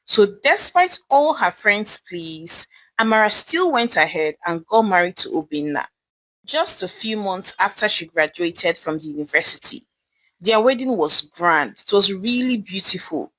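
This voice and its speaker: Animated Nigerian woman storyteller. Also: medium pitch, unhurried, rough recording. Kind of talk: dramatic folktale narration.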